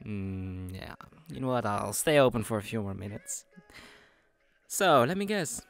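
A man voicing an obsessive, falsely sweet young male friend in an intimate audio roleplay, soft and teasing, often breathy. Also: on the low side, animated, soft background music. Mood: friendly, teasing. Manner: slow, chuckling, teasing, coaxing.

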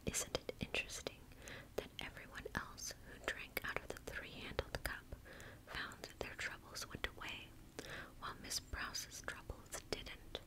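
A woman in her thirties gently reading a story in an ASMR style, whispering close to the mic with careful, deliberate phrasing. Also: low-quality recording. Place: small indoor space in front of a curtain.

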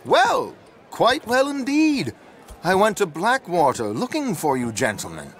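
A man playing a dapper, flamboyant gentleman rogue in a video game, with wry, flowery politeness. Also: high-pitched, wildly swooping pitch, unhurried, slight background noise, exaggerated voice. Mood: genial, effusive. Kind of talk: dramatic video game cutscene acting.

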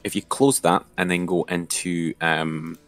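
A man's voice: sits low, steady tone, measured pace, a bit bright, faint music behind. A man giving an informal, step-by-step screen-share tutorial as an instructor.

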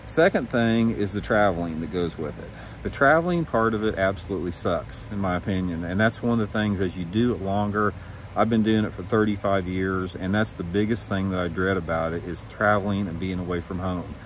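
Male, 50s, lowish voice, frank vlog delivery, outdoor recording with faint background noise. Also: fairly quick, rough recording. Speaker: professional angler and vlog host. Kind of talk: candid opinion vlog, talking to camera. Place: suburban backyard, outdoors.

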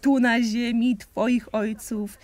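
A woman's voice: fairly high pitch, level tone, slow pace, a little mellow, no echo. A woman performing rhymed verse theatrically for an audio play, with playful, sing-song phrasing.

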